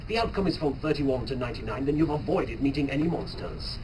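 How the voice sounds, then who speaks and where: Male narrator, scripted live reading, lively pitch, distant, clear background noise. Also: quick pace. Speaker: narrator and reader. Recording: noisy distant live room recording.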